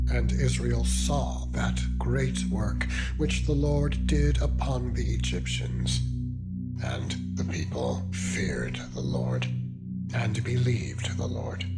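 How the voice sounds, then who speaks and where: Slow, solemn male scripture narrator over loud music. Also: medium pitch. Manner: solemn, measured, deliberate phrasing with pauses. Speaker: Bible reader, narrator. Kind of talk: dramatized King James scripture narration.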